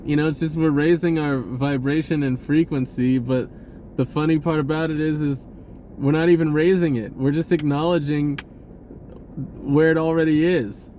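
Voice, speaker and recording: A man speaking in a casual, rambling spiritual monologue, halting and drifting in an informal way. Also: fairly high pitch, steady tone, darkish tone, slight background noise. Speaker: spiritual vlogger.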